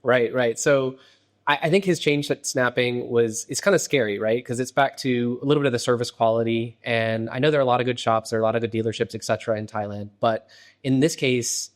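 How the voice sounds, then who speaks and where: A man hosting an interview podcast, chatty and conversational, often checking in with a quick "right?". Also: mid-range voice. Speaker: podcast host.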